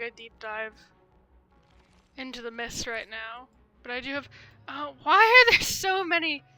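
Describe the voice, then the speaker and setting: Bright, high-voiced woman streaming a game. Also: no room sound. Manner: rambling, thinking aloud, tentative. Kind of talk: casual livestream gameplay commentary. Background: game music.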